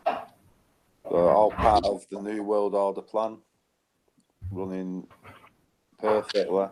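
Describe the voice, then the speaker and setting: A man co-hosting a relaxed conversation, his voice sitting very low. He speaks in loose, opinionated phrases and often closes with a questioning tag. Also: slow pace, low-quality recording. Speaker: co-host. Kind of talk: casual conversational talk show.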